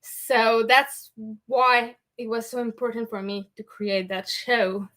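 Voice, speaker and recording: Bright-voiced French-Canadian woman host. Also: a little high, steady tone, slow pace. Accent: Québécois French. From Montreal, Canada. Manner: earnest, repeats herself, frequent "okay?" asides. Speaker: podcast host. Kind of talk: candid personal talk.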